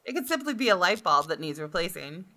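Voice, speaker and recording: A woman gamer reading a visual novel aloud as expressive first-person story narration, with music behind her. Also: lowish voice, quick pace. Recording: guitar game music behind the voice. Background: game music with guitar.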